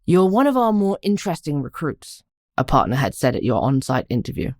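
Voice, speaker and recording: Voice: mid-range voice, very animated, a little fast, no room sound, studio quality. A narrator reading literary fiction aloud in an audiobook style, addressing the listener directly. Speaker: narrator of a short story podcast.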